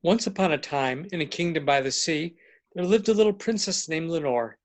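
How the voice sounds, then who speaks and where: Higher-pitched man narrating a tale. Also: lively intonation, fairly quick. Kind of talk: storybook narration.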